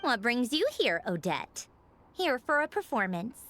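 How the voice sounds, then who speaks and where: A woman's voice: a little high, big pitch swings, unhurried, fairly bright tone, no room sound, faint music behind. An actress playing a breezy, teasing female engineer in authority, in a theatrical, self-assured video game performance. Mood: playful, admiring.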